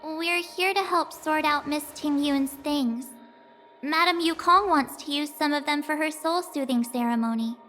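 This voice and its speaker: A woman's voice: sits high, a little slow, a bit bright, a little hiss or hum. A woman voicing a curious, helpful young girl adventurer in a video game, musing aloud inquisitively. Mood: polite.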